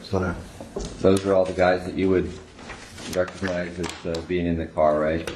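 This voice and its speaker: An American man working as a detective, briefing and questioning in a halting, low-key, casual way. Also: little pitch movement, darkish tone. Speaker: police detective. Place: indoor interview room. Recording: echoey room recording, faint noise.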